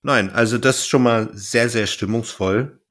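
A man's voice: mid-range voice, moderate pace, fairly bright tone. A man hosting a podcast, chatting casually and wryly as he reviews audio dramas. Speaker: podcast host.

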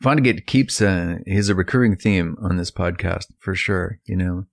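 A man hosting a podcast, steering a casual chat in halting, piecemeal phrases. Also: deep voice, creaky, dry recording. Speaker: podcast host.

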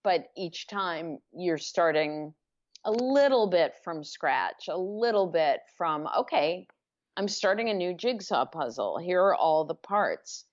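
A woman author as an interview guest, answering in a measured, reflective way. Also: fairly low pitch, expressive, highs cut off. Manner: measured, reflective, uses images to explain.

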